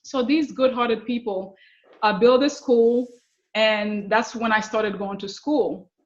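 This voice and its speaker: A middle-aged woman with a Haitian accent telling personal stories as an interview guest, often halting and repeating words. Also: a little high. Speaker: podcast guest, success coach. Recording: slightly dull, lo-fi connection.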